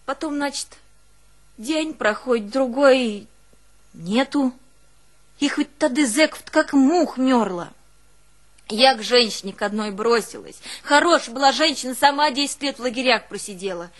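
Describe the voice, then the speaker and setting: A woman's voice: sits high, expressive, normal speed. An actress playing a long-suffering, devoted village woman, telling of hardship in a plaintive, folksy theatrical monologue. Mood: earnest storytelling. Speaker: actress in a one-woman show. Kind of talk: theatrical monologue with drawn-out folk singing.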